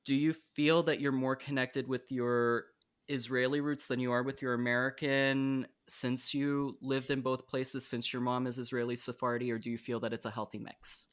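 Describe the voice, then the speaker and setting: A man's voice: medium pitch, flat delivery, moderate pace, clean tone. A young man interviewing a guest on a podcast, asking earnest, thoughtful questions in a casual tone. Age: young adult.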